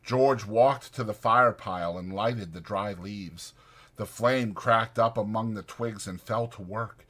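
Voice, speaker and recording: A man's voice: on the low side, unhurried, a bit bright. A man reading literary fiction as a dramatized audiobook narrator.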